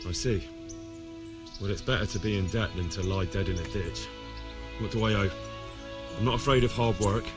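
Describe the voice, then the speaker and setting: Actor as earnest young lad, lowish voice. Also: male voice, normal speed, long pauses, over music, lightly acted. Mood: polite, willing. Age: young adult. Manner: plain-spoken, principled. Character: earnest, honest young medieval village lad. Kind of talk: video game dialogue acting.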